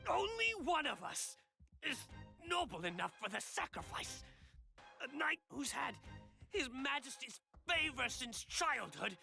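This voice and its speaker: Desperate game knight, high-pitched, creaky, sardonic, intense, self-deprecating. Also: female voice, sing-song, measured pace, bright, forceful tone, soft background music, heavily acted. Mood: pointed, tense. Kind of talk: dramatic video game voice acting.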